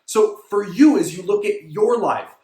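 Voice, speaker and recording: Thirties male fitness coach, high voice, emphatic repetitive pep talk, echoey room. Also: a little slow, warm tone. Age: 30s. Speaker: fitness coach and show host. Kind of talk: motivational monologue.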